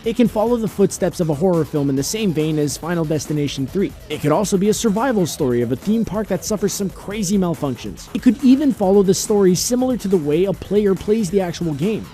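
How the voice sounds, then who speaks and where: High-pitched man narrating a countdown, lively intonation. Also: quick pace, hardly any pauses. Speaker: narrator of a video game and film countdown. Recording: music bed under the voice. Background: background music.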